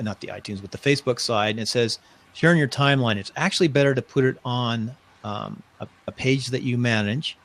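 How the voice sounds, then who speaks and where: Middle aged guy hosting a webcast, chatty, keeps circling back mid sentence, normal pitch. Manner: rambling, restarts often, chatty. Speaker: host. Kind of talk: casual live-stream interview.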